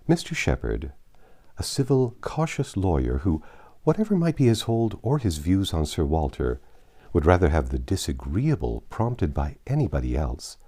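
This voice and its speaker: A man narrating a classic novel as an audiobook, in a measured, formal storytelling manner. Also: low voice, dark tone, husky.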